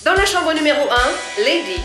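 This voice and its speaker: A woman's voice: high-pitched, very animated, normal speed, roomy sound, music in the background. A woman voicing a strict, self-important adult campus director in a cartoon, announcing the rules in a pompous manner. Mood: stern.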